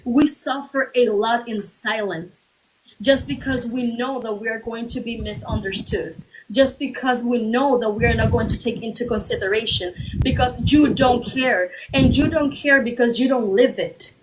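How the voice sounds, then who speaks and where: A woman with a slightly raised pitch speaks as a guest on a livestream talk. She argues in a steady, deliberate way and drives her points home through repetition. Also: noisy background. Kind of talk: earnest argument on a livestream.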